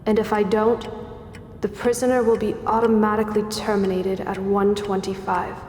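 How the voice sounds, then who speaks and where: A woman's voice: medium pitch, little pitch movement, moderate pace, darkish tone, a little hiss or hum, natural voice. An actress playing a wary, defiant young female citizen in a radio drama, guarded and tense. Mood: anxious. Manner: tense self-talk, guarded. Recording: roomy reverberant sound with faint music.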